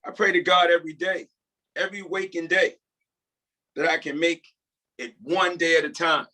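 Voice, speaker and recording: Man as grateful newly freed convict in drama read-through: earnest, halting delivery, highish bright voice. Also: fairly even intonation. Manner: sincere, humble, halting. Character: earnest, grateful, devout male ex-prisoner starting over. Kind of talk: script table read.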